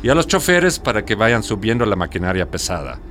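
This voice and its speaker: An actor playing a greedy, self-assured foreign company manager in a radio drama. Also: male voice, on the low side, sing-song, brisk, a bit bright, a little hiss or hum. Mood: scheming, confident.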